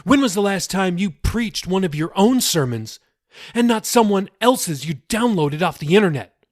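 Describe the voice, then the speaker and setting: A man voicing a furious twenty-one-year-old daughter who shouts at her father in a high, strained voice. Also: lively intonation, moderate pace. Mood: defiant.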